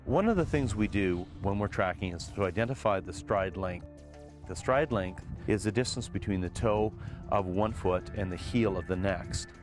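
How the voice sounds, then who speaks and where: A man with a fairly low voice explains a practical technique in plain, instructional talk. Music is clearly heard behind him. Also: moderate pace. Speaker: search and rescue tracker.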